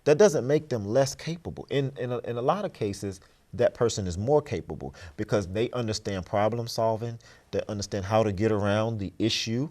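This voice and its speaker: A man's voice: fairly low pitch, fairly quick, darkish tone. A man speaking as an interview guest, explaining his views in an emphatic, earnest, motivational way. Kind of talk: conversational, motivational talk.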